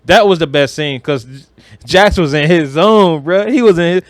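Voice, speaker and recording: Slangy, higher-voiced man chatting on a podcast. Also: moderate pace, dry recording. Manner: slangy, emphatic, acts out quoted lines. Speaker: podcast co-host. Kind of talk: casual chat about movies.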